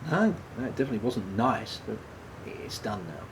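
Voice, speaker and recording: An actor playing a subdued, guilt-ridden man in a radio play, speaking haltingly and repeating his words. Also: fairly low pitch, animated, noisy background. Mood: morose, weary. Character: guilt-ridden, self-blaming man, an ex-prisoner, subdued and troubled. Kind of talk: radio play dialogue, naturalistic.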